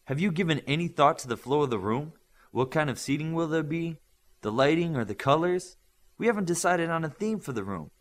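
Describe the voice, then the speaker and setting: A man's voice: a little high, animated, fairly quick, pitched above the performer's own voice, lightly acted. A man voicing a bossy, exasperated young woman with exacting taste in a fussy, emphatic way. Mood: scolding.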